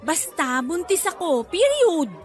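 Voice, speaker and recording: Very high-voiced cheeky pregnant woman. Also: sing-song, takes it slowly, soft background music, full character voice. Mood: breezy, flippant. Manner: breezy, cheeky, giggly delivery. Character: flippant, manipulative pregnant woman, teasing her lover. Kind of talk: radio drama acting.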